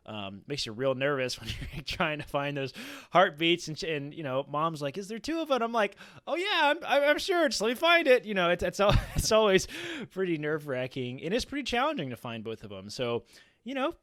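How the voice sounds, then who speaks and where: An American man chatting on a podcast roundtable, jokey and halting, slipping into acted-out quotes. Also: fairly high pitch, very animated, a bit bright, no room sound, studio quality. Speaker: podcast panelist, medical resident. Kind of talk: casual roundtable chat. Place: home studio, remote video call. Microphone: close studio mic on boom arm.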